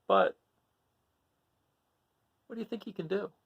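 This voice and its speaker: A man's voice: high voice, unhurried, slightly rough, narrow, tinny audio. A man reading a children's book aloud expressively, with informal asides spoken directly to young listeners. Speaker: storybook reader.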